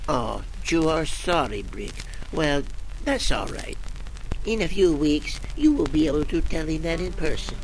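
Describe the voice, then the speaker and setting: Gloating police chief, higher-pitched. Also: male voice, expressive, unhurried, slight background noise, poor audio. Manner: broken, literal English, taunting. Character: gloating Mexican police chief detective with a grudge. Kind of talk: old-time radio detective comedy acting.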